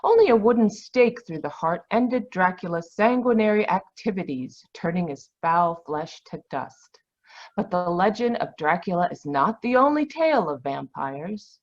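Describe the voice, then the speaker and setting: A woman announces a radio horror show with scripted drama. Her voice sits fairly low. The audio is a little dull, like an internet call. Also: animated, normal speed.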